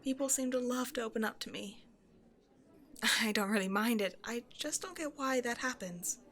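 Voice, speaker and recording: A woman's voice: on the high side, lively intonation, normal speed, a bit bright. A woman playing a shy, self-doubting young woman who rambles hesitantly in an intimate one-sided roleplay conversation with a stranger. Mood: nervous, chatty. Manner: hesitant, apologetic, nervously overthinking, giggly.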